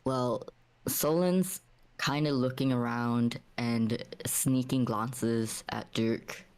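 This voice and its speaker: A woman's voice: a bass voice, steady tone, slow, darkish tone, clear, resonant tone. A woman from Australia chatting casually and narrating her character as a player in a tabletop game. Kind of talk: casual chat and roleplay narration.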